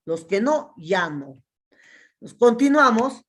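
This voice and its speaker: Woman, Peruvian accent, chemistry teacher, unhurried delivery, quizzes students step by step. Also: a little high, sing-song. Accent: Peruvian Spanish. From Peru. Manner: asks students questions and answers them herself, counting step by step. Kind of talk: classroom lecture solving exercises.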